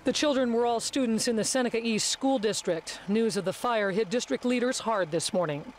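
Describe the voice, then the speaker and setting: A woman's voice: fairly high pitch, brisk, a little hiss or hum. A woman with an American accent giving a live local television news report from the field. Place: outdoors at a rural scene.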